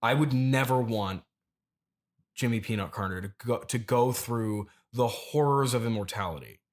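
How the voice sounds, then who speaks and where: A man's voice: mid-range voice, close to an everyday voice. Cagey, pompous immortal farmer played by a man. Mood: grandiose, mournful. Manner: evasive, pompous, halting. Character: cagey, grandiose immortal turnip farmer, male, mystic, grieving superfan. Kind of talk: improvised comedy interview.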